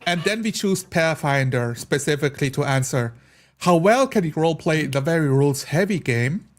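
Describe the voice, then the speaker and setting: A man's voice: fairly high pitch, lively intonation, normal speed. A man talking casually in a group discussion as a tabletop role-playing player.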